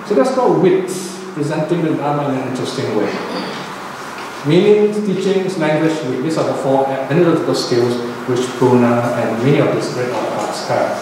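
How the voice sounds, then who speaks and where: A man's voice: mid-range voice, normal speed. Older man giving a dharma talk, echoey hall. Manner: conversational, checks in with okay and right. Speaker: Buddhist teacher. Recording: echoey hall, distant mic, noisy.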